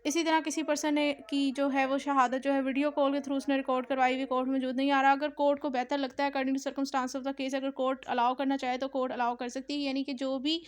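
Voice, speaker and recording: A woman with a Pakistani accent speaks in a high voice that stays within a very narrow range. She lectures like a law teacher, reading and explaining provisions, with English terms mixed into her sentences. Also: flat delivery, a little fast, few pauses. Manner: mixes Urdu with English legal terms, repeats explanations.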